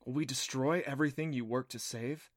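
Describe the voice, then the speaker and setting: A man's voice: mid-range voice, steady tone, brisk, dry recording, studio quality, lightly acted. Man playing an earnest, curious boy adventurer. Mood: awed, pensive. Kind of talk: audiobook character voice.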